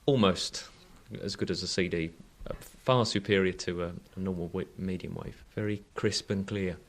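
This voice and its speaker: Male engineer guest, lowish pitch, careful conversational answers with some hesitation. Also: normal speed.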